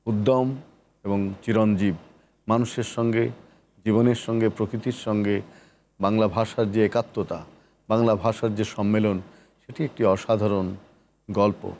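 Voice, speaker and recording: A man from Bangladesh hosting a literary interview show, speaking in a measured, poetic, declamatory way. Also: lowish voice, a little mellow, slight background noise. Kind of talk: poetic scripted introduction and interview.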